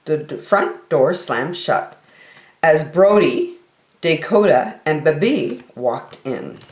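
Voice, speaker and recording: A woman reading aloud to children as a story time host, expressive and drawing out her words. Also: low voice, slow pace. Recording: roomy, echoey room sound.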